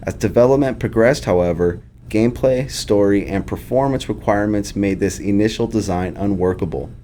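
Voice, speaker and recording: A man with a deep, dark-toned voice reads prepared developer commentary. There is faint rumbling noise in the recording. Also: moderate pace. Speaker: game developer.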